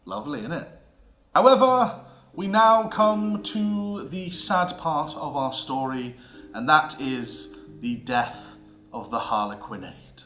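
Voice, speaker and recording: A British man presents an educational script in a bright, forceful voice. His delivery is theatrical and emphatic, broken by deliberate pauses. The room adds noticeable echo, and music plays underneath. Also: a little high, expressive. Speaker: presenter of a theatre history series.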